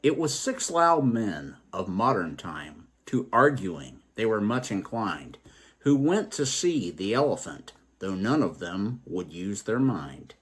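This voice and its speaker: A man hosting a story program, telling tales and reflecting on their morals in a deliberate, emphatic way. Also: lowish voice, very animated, a bit bright. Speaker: storytelling show host.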